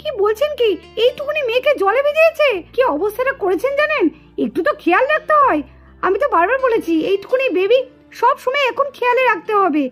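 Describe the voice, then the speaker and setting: A woman's voice: very high-pitched, expressive, quick pace, a bit bright. A woman voicing a stern, exasperated lady doctor doll in a melodramatic puppet cartoon, scolding, tutting and insistent. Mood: appalled, scolding. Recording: music behind the voice.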